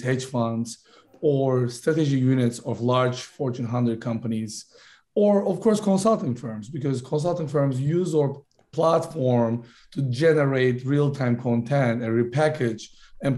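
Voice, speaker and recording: Man pitching his company. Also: a little mellow. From Turkey. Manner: fluent, pitch-like, with quick self-corrections. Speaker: startup founder guest. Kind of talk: business pitch in a podcast interview.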